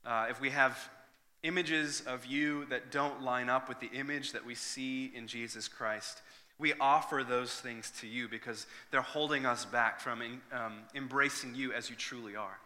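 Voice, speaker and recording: A man's voice: medium pitch, fairly even intonation, moderate pace, pushed and bright. A man, preaching a sermon to his congregation, earnest and conversational, with frequent restarts. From Northern Ireland. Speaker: pastor. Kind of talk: sermon with prayer and personal story. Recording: long hall reverb.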